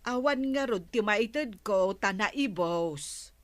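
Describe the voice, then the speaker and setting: A woman's voice: mid-range voice, animated, takes it slowly. An actress playing a gossipy, grumbling village woman in an Ilocano comedy radio drama, with an Ilocano accent and drawling exclamations.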